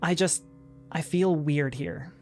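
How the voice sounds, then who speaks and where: A man voicing an anxious young mother who speaks in a hushed, near-whispered way. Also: on the high side, moderate pace, soft background music, pitched above the performer's own voice, natural voice.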